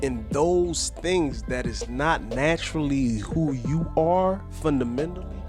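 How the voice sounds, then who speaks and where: A man's voice: on the high side, slow, music in the background. A man co-hosting a podcast, musing earnestly in a near-sermon way, using casual slang. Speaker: podcast co-host.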